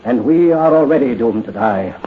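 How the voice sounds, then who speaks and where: An actor playing a proud, solemn aristocrat father facing execution, speaking gravely and declaiming in archaic 1930s radio drama style. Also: medium pitch, lively intonation, moderate pace, slight background noise, lightly acted. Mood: solemn urging. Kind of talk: 1930s radio horror acting. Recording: muffled 1938 radio broadcast.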